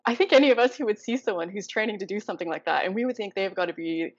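A woman's voice: mid-range voice, lively intonation, rapid-fire, highs cut off. A woman in her thirties hosting a video-call interview, upbeat and encouraging. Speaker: host. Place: living room indoors. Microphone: lapel mic on a video call.

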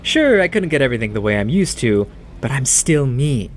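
A man's voice: medium pitch, very animated, brisk, a little hiss or hum, lightly acted. An actor playing a cocky young male troublemaker who needles his friend in a mocking drawl for a horror audio drama. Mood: smug, taunting.

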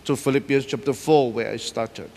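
A man's voice: mid-range voice, normal speed, darkish tone. A middle-aged man preaching a sermon as pastor, emphatic and repeating key words as he paces the stage. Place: large church stage decorated for Christmas. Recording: long hall reverb.

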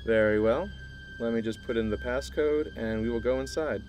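An actor playing an earnest, secretive male scientist in an audio drama, speaking in a measured, formal way. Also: medium pitch, a little mellow, soft background music, lightly acted. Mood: grave, secretive.

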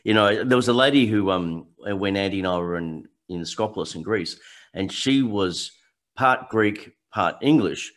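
A man's voice: on the low side, moderate pace. A middle-aged man hosting an interview over a video call, wearing a headset and often murmuring agreement. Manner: warm, affirming, frequent murmured agreement. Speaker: host. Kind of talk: conversational interview.

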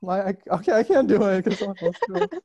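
A woman's voice: mid-range voice, very animated, fast, crowd noise. A young woman chatting casually as she presents on a video call. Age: young adult. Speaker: presenter at an online graduation. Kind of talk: casual chat.

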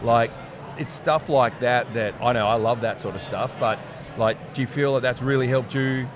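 An Australian man co-hosting a casual post-game podcast, asking long, rambling questions at a boom mic in a busy room after a match. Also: normal speed, background noise. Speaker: podcast co-host. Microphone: boom mic on arm, headphones. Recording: loud crowd chatter behind.

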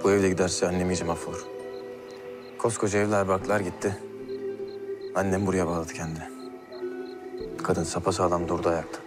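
An actor playing an earnest, restless young man who talks fast in slang, outdoors at night. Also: low voice, little pitch movement, lightly acted. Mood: tender. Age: young adult. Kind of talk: TV series acting. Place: outdoors at night, town square. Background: score music.

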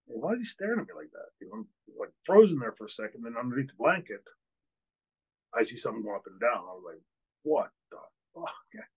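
Husky-voiced older man telling a story. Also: mid-range voice, very animated, fairly quick, low-quality recording. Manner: candid, outraged, many fillers and restarts. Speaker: podcast host, former wrestler. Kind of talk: storytelling in an interview. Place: hotel room, indoors. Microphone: video call, webcam.

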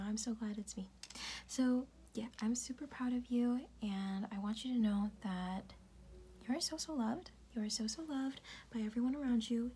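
A woman speaking in a soft, breathy, often unvoiced whisper, tenderly reassuring the listener in an intimate ASMR roleplay. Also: a little high, a little hiss or hum. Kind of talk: ASMR personal-attention roleplay, comforting affirmations. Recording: music playing behind the voice. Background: music.

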